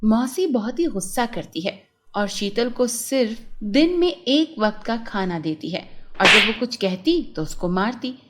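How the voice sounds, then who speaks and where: A woman narrating a children's cartoon story in an expressive storytelling manner. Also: slight background noise. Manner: slow, lively-pitched storytelling.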